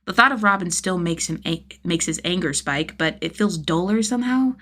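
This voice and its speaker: Lower-pitched woman reading a story. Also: brisk, pushed and bright. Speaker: audiobook narrator of fan fiction. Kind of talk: expressive scripted story narration.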